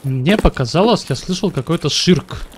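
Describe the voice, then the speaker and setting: A man in his thirties streams a shooter game from his room, speaking into a desk microphone. His voice sits a little high, and he jokes and chats with viewers over steady background noise. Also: moderate pace. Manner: joking, talks to chat, puts on broken English and mock greetings. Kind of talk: live game stream commentary. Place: home room at a computer.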